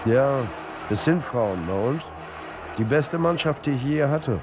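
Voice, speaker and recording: A man's voice: mid-range voice, lively intonation, a little slow, music in the background, natural voice. An actor playing a wry, defiant male football coach in a radio drama, with a dry, conversational delivery. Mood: fired up, proud. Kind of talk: German radio drama acting.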